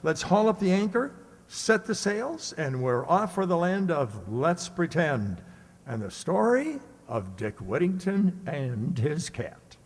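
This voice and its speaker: A man's voice: fairly high pitch, very animated, slow pace, breathy edge, heavily acted. A man playing a genial uncle who hosts and tells stories for children in old-time radio style. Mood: rousing. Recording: echoey hall with long reverb.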